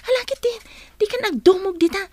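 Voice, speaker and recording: A woman's voice: extremely high pitch, fairly quick, a little mellow, no room sound. A woman playing a chatty, teasing young student teacher with a Filipino accent in an audio drama. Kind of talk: Ilocano audio drama acting.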